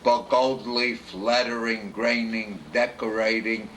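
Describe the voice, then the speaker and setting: An older man in his eighties, answering family questions in a slow, halting, deliberate way at home. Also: lowish voice, level tone, noisy background. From United States, born in Poland. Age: 80s. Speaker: interviewee, family elder. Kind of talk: home video family interview. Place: home in a retirement community.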